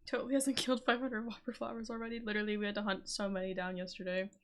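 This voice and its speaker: A woman's voice: medium pitch, little pitch movement, racing, noisy background. Casual, offhand woman streamer. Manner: offhand, sarcastic, reactive. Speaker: game streamer. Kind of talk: casual live game commentary.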